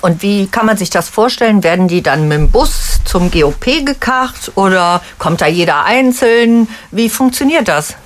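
A woman's voice: mid-range voice, expressive, normal speed, hardly any pauses. A woman interviewer who asks informal, curious follow-up questions.

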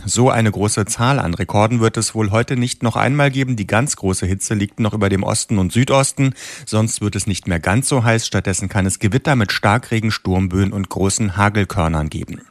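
Measured male radio reporter, dry sound. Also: lowish voice, no room sound. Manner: measured, matter-of-fact. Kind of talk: read news report.

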